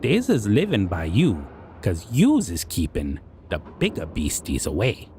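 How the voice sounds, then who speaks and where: A man's voice: sits low, huge pitch swings, a little slow, warm tone, a little hiss or hum, full character voice. A man voicing a proud, amused, menacing goblin king who speaks in broken grammar and musing hums. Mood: mocking. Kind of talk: roleplay audio monologue.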